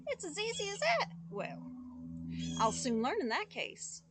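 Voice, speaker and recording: A simple, fearless young boy from a storybook, as a woman reading aloud puts him on. He talks earnestly and openly, his voice set high and lively. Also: measured pace, background noise, heavily acted. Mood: eager.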